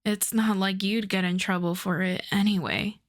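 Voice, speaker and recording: A woman's voice: medium pitch, fairly even intonation, lightly acted. A woman playing a wry, flirtatious female supervillain coaxing a favor, in an intimate, conversational ASMR roleplay style. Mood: coaxing, sheepish. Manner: unhurried, teasing, conversational.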